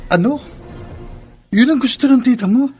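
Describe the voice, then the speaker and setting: High-voiced indignant young boyfriend. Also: male voice, normal speed, over music. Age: young adult. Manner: earnest, aggrieved. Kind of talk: radio drama acting.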